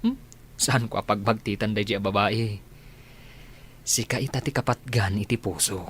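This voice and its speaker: A man's voice: fairly low pitch, moderate pace, noisy background, lightly acted. A man acting an earnest, lovestruck village suitor in a radio drama. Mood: tender, pleading. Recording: music laid under the voice.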